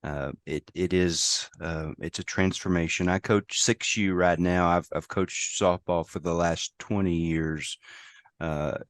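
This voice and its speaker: Guest spot: American man, very low voice, hoarse, dry close sound. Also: fairly even intonation, no echo. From United States. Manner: halting, many restarts and fillers. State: hoarse from a lost voice. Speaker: guest, safety professional. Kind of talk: casual interview conversation.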